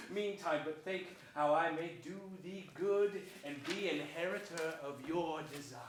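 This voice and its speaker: Scheming hunchbacked usurper, high voice, grand stage declamation, distant hissy recording. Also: male voice, takes it slowly. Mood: gloating. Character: scheming, gloating, villainous hunchbacked royal usurper. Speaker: stage actor. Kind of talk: theatrical Shakespearean stage declamation. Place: theater stage before an audience. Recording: distant mic in echoey theater, hiss.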